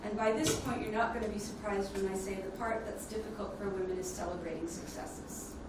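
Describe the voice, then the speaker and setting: A woman giving a prepared conference presentation as a speaker on leadership development, in a measured, explanatory way. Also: medium pitch, fairly even intonation. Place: indoor conference plenary room. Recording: echoey hall, distant mic, noisy ambience.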